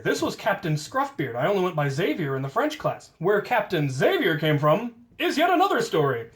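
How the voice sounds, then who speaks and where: An American man casually telling stories from his own life, with playful self-deprecation and drawn-out emphasis on key words. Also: on the high side. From United States. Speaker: storyteller, host of a hobby channel. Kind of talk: casual autobiographical storytelling.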